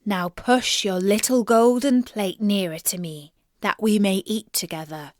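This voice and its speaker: A woman's voice: mid-range voice, darkish tone, no room sound, clean studio sound, natural voice. A woman voicing an insistent, pleading male frog in a children's storybook, with formal, old-fashioned speech. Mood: demanding. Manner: formal archaic speech, calling out in drawn-out cries.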